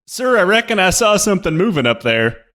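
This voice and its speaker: A man's voice: high voice, very animated, fairly quick, a bit bright. A man playing a nervous, folksy soldier with a hammy drawl in a comedic radio play. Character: folksy, nervous Southern soldier named Tex, male.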